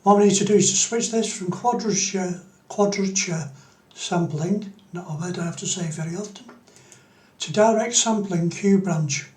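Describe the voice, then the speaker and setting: A man's voice: high voice, moderate pace, a little mellow, roomy sound. A man giving an unscripted screen-demo tutorial, explaining things step by step. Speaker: tutorial presenter.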